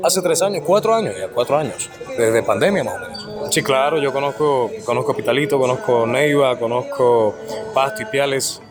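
A man in his twenties from Venezuela, a street singer answering interview questions in a relaxed, halting way with a low, slightly hoarse voice. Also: music in the background. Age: 20s. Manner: halting, restarts and fillers, relaxed.

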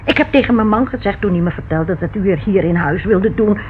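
A woman's voice: medium pitch, fairly quick, natural voice. An actress in a Dutch radio play playing a stubborn, protective married landlady who fusses and insists. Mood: protective, insistent. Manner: fussing, insistent. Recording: dull old radio recording with noise.

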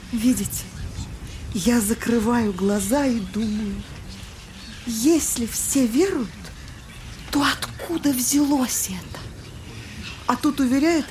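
An actress playing an effusive, sentimental society lady in a Russian audio drama, with a halting, melodramatic delivery. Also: a little high, darkish tone, slight background noise, natural voice. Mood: desperate.

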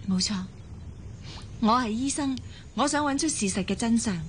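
A woman's voice: on the high side, normal speed, a little hiss or hum, natural voice. A woman acting in a Hong Kong Cantonese radio drama as a friendly, curious and determined young surgeon.

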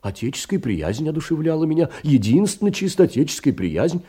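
An actor playing a meek, kind-hearted, middle-aged poor clerk in an audio play, fussy, rambling and self-deprecating, with swooping emphasis. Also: male voice, on the high side, sing-song, brisk, mellow, slightly rough. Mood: flustered, wounded. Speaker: stage actor. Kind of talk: theatrical audio-play monologue of letters.

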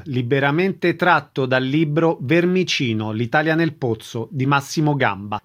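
A man reading a scripted programme announcement as a radio announcer. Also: fairly high pitch.

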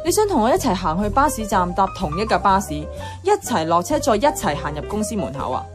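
Radio drama flatmate: actress as teasing, bossy young Hong Kong woman, medium pitch, colloquial and playful, soft music underneath. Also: brisk, close to an everyday voice. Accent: Hong Kong Cantonese.

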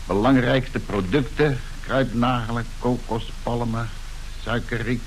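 An actor playing an adventurer's dry, practical sidekick in a radio play, with a deadpan delivery. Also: male voice, medium pitch, a little slow, slight background noise, close to an everyday voice, low-quality recording. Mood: matter-of-fact.

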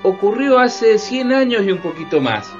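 An impassioned, knowing explainer, played by a man in a radio drama. He lectures emphatically in a high voice. The recording is dull, with faint music behind. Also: sing-song, moderate pace. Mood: emphatic, explaining. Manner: emphatic, didactic. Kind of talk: radio play acting.

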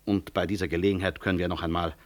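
Actor as proud, low-voiced, ironic hospital director. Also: male voice, little pitch movement, racing, lightly acted. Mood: stubborn, wry. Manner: dry, ironic, courteous but blunt. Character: proud, stubborn male physician and hospital director. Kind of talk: 1960s German radio drama acting.

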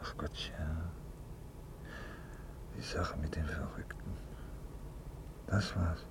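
An actor playing an anxious, irritable, self-important official in a 1950s radio play, muttering in clipped, peevish phrases. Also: male voice, extremely low pitch, flat delivery, slow pace, in a whisper, full character voice. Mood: weary muttering. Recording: noisy vintage 1950s radio recording.

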